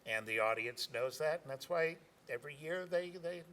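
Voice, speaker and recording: A man's voice: a little high, expressive, normal speed, a bit bright. A man who directs a community theater, answering interview questions hesitantly, with many fillers and chuckles. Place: theater stage.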